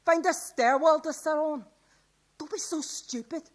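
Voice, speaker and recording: High-pitched female, radio play; anxious scold sheltering from a poisoned city, exasperated and pleading. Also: measured pace. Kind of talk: radio drama acting.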